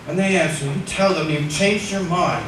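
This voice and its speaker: A scheming husband acted by a man in an old radio thriller, insistent and bossy. The sound is very echoey and set back from the microphone, with clear noise over the old recording. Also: on the high side, normal speed, recorded from a distance, lightly acted. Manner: insistent, domineering. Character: scheming, bossy husband faking his death for money. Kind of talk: 1940s radio acting.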